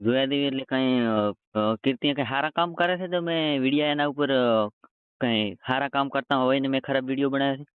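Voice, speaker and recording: Fast-talking man on a tinny phone line. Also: medium pitch, fairly even intonation, clear, resonant tone, dry recording. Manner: colloquial, stubborn, confrontational. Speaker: roast video creator taking a call. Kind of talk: heated phone argument. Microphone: phone call.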